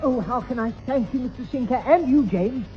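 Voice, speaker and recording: An actor playing a timid, prim gentleman who stammers and dithers politely in a 1960s radio sitcom. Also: extremely high pitch, expressive, fairly quick, muffled recording, faint music behind. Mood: flustered. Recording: band-limited old radio recording.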